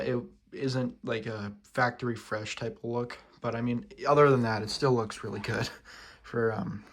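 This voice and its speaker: A young man with a sore throat, talking casually through a show-and-tell vlog with plenty of ums and uhs. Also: medium pitch. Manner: rambling, many ums and uhs, loose and casual. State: sore throat, feeling sick. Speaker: siren collector vlogger.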